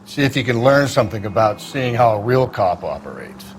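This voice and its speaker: A man's voice: fairly low pitch, big pitch swings, brisk, heavy background noise. An American man playing a cynical veteran homicide detective in a video game, blunt, world-weary and sardonic. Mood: scornful. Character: jaded, gruff male veteran homicide detective, cynical and dismissive. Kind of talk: cinematic video game acting.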